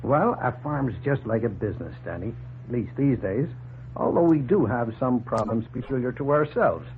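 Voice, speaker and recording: A man's voice: medium pitch, animated, normal speed, slight background noise, natural voice. Man acting an earnest farmer on tinny 1940s radio. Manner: earnest, explanatory. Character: hospitable, plain-spoken, earnest male Canadian farmer.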